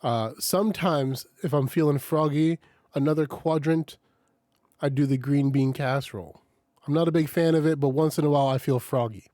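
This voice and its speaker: Dark-voiced man, chatty podcast host. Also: a little high, normal speed, no room sound, studio quality. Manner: animated storyteller, swears often, laughs a lot. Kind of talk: casual chat.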